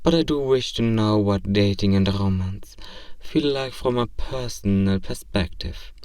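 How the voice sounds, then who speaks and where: A man's voice: on the low side, measured pace, darkish tone, studio quality, natural voice. A man playing a polite, curious alien robot in human disguise, naive about romance, speaking formally and literally in a scripted roleplay. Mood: polite, pleased. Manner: formal, stilted, literal, precise phrasing. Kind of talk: scripted roleplay audio drama. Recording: roomy, slightly distant microphone.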